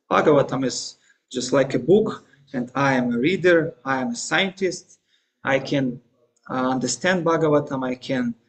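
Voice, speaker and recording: A man with a Russian accent, a native Russian speaker, giving a scripture class in English with many pauses, fillers and restarts. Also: medium pitch. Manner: halting, frequent fillers and restarts; hesitant non-native English. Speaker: guest lecturer.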